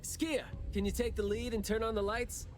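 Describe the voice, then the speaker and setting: A man's voice: high voice, normal speed, a little hiss or hum, natural voice. A man playing a playful, wry young investigator in a game cutscene, talking casually and expressively. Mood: teasing, light. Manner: casual, teasing, animated. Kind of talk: game cutscene dialogue acting.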